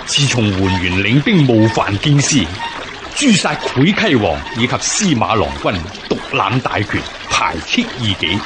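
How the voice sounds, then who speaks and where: Resolute ancient rebel general, Hong Kong Cantonese, animated, formal, water noise behind. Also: male voice, medium pitch, a little slow. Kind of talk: historical radio drama acting.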